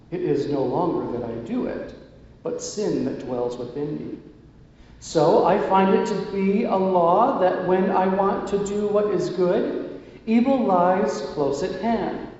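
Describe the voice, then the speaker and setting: A man reading scripture aloud in a church service, in a measured liturgical way. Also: high-pitched, darkish tone. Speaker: music director reading scripture. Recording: echoey church hall, muffled.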